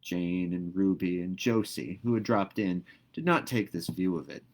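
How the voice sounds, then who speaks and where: A man narrating a novel aloud in an expressive, careful storybook style. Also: sits low, moderate pace.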